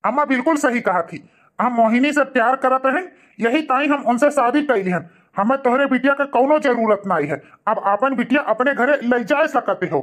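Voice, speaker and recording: A man's voice: extremely high pitch, expressive, moderate pace, a bit bright. A man voicing a callous, self-justifying young husband in a cartoon family drama, defiant, with an Awadhi accent. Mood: defiant, cold. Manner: defiant, blunt.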